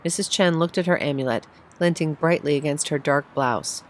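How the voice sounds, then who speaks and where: Low-pitched woman narrating a story. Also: moderate pace. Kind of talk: scripted short-story narration, read aloud. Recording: faint background noise.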